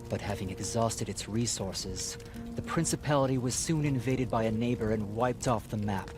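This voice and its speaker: Male actor as grave fantasy game team leader, lowish voice, formal measured storytelling, music underneath. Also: natural voice. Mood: solemn.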